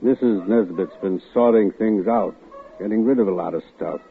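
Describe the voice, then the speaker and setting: A man's voice: on the low side, normal speed, vocal fry, faint music behind. An actor playing a curt, evasive man in an old radio drama. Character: shifty grown man, evasive and nervous. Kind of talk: 1940s radio acting. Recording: tinny old radio broadcast.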